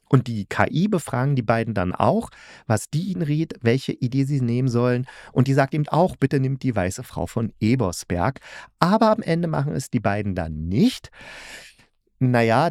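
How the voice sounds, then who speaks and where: A man's voice: medium pitch, a little mellow, no echo, studio quality. A man hosting a podcast, giving a casual, colloquial and opinionated spoken review. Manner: colloquial, opinionated, with frequent tag questions.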